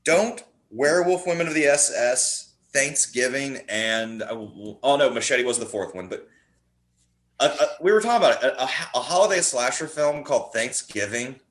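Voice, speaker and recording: A man's voice: mid-range voice, animated, moderate pace, fairly bright tone. A man talking casually as a podcast guest, rambling and jokey in the way he tells things. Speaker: guest, horror playwright. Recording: roomy, echoey sound.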